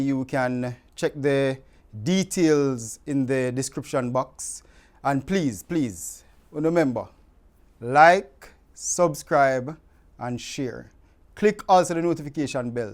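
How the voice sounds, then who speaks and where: A man with a Jamaican accent reading scripture aloud to viewers in an emphatic, conversational way. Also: on the high side. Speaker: scripture reader. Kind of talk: expressive scripture reading in Patwa, addressed to viewers.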